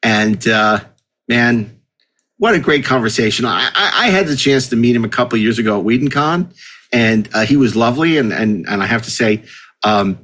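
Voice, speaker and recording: A man hosting a podcast in casual chat, with a halting delivery that often repeats words. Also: mid-range voice, expressive, a bit bright. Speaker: podcast host.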